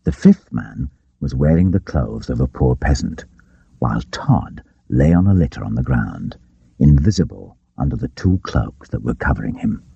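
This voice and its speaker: A man narrating an adventure audiobook in an expressive, theatrical storytelling style. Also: rumbling low, moderate pace, creaky, husky, no echo. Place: dry studio. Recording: dull, lo-fi tape audiobook.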